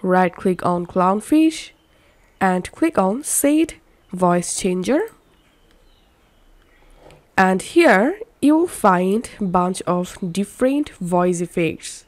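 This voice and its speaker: A woman narrating a software tutorial, giving step-by-step instructions. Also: medium pitch, lively intonation, extremely slow, pauses often, no room sound.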